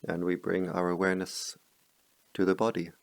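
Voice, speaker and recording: A middle-aged man, a meditation teacher, guiding a slow, calm meditation in a softly spoken voice with long pauses. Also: gravelly, dry recording. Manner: chants Pali verses in a low murmur. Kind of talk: guided meditation.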